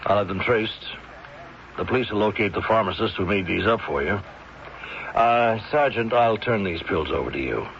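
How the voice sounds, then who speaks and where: An actor playing a grave, resolute doctor making accusations in a measured 1970s radio mystery style. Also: male voice, lowish voice, animated, gravelly. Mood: grave, insistent. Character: grave, resolute male doctor confronting a killer. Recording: muffled, noisy 1970s radio recording.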